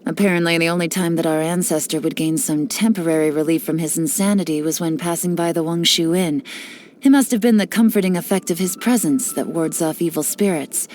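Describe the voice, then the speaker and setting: An actress playing a cool, cunning female spy and information broker, speaking with confident, sly, measured delivery in game voice lines. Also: lowish voice, a little mellow, a little hiss or hum, lightly acted. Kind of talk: scripted character voice lines.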